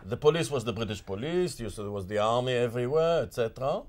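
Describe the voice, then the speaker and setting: A man's voice: mid-range voice, lively intonation, fast, darkish tone. An older man, born in Germany and raised in Palestine, recalls his childhood in an interview, telling it with animation and plenty of fillers and restarts. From born in Germany, grew up in Palestine. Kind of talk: recollecting in an interview. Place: indoor office with shelves.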